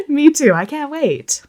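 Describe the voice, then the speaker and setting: Roleplay game part: sweet, eager stage-struck young woman, played by a woman, high voice, unhurried gushing. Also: sharp tone, exaggerated voice. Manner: gushing, earnest. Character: sweet, enthusiastic young female theatre kid.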